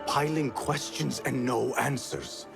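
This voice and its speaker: An actor playing a troubled, earnest young assassin novice in a video game, speaking formally and in a measured way. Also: male voice, mid-range voice, lively intonation, gravelly. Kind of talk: dramatic video game acting. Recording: dramatic music behind the voice.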